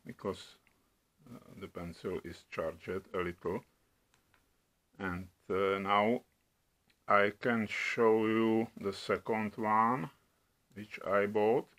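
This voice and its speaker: A middle-aged man from the Czech Republic with a Czech accent, demonstrating products at home in a slow, halting way with many hesitations. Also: lowish voice, fairly bright tone. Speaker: product reviewer. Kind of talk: casual product demo. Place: home room at a table.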